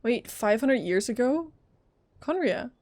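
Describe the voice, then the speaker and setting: A woman streaming a game live, chatting and reacting in an expressive, dramatic, exclamatory way. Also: fairly high pitch, slow pace, dry recording. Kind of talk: live gameplay reaction chat. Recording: close dry mic over game music. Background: game music.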